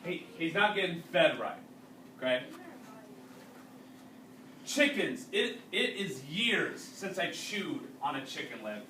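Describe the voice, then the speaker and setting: Bright-voiced male teacher reading aloud, roomy sound. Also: on the high side, sing-song. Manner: explanatory, pausing to question young listeners. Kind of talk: read-aloud storytelling with explanations and questions. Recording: roomy, distant mic with faint noise.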